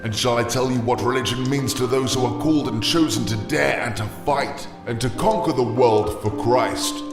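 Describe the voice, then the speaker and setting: A man playing a gentle, earnest missionary priest in an audio drama, speaking in solemn, archaic oratory. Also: mid-range voice, normal speed, natural voice. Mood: fervent, solemn. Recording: roomy echo, music behind.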